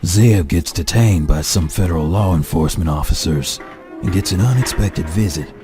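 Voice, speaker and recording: Man as serial announcer, deep voice, scripted recap narration, music underneath. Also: moderate pace, natural voice. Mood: announcing. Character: male radio serial announcer recapping episodes.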